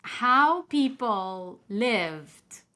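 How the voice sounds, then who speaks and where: Slow, bright-voiced woman. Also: fairly high pitch, expressive. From Romania. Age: 50s. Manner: reflective, thinking aloud in long unhurried sentences. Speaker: podcast host. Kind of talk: casual interview chat.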